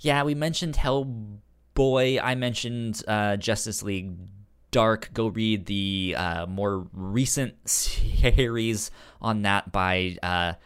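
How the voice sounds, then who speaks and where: A man co-hosting in casual chat, halting and hesitant, with a stutter that repeats sounds and words. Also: on the low side, a bit bright, clean tone. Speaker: podcast co-host. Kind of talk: casual chat recommending comics and shows.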